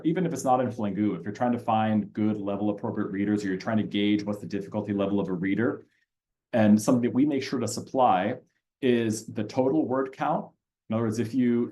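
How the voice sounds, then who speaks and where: Low-voiced man leading a teaching webinar. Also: dark tone. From Austria. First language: German. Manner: conversational, frequent fillers and restarts. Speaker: language teacher presenting a reading platform. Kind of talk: webinar walkthrough with screen sharing.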